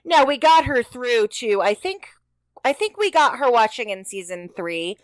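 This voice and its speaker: A woman's voice: a little high, sing-song, measured pace, dry recording, poor audio. A woman co-hosting a podcast in casual, chatty style, repeating words for emphasis. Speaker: podcast co-host. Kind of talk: casual chat.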